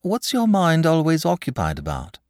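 A man's voice: on the high side, wildly swooping pitch, normal speed, a little mellow, dry recording, clean studio sound, pitched above the performer's own voice. A man voicing a frank, sensible young boy in a storybook, speaking politely and earnestly. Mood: curious. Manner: matter-of-fact, polite, earnest.

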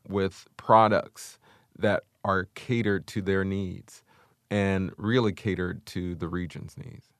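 A measured man lays out his research plans as a podcast guest. His low voice has a gravelly edge. The sound is dry and close. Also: no room sound. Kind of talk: podcast interview answer.

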